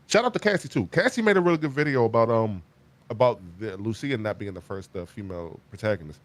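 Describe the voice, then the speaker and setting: Fast-talking guy in his 30s hosting a gaming podcast, excited, keeps restarting sentences. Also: on the low side. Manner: animated, stumbling restarts, emphatic. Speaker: podcast host. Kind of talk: casual gaming chat.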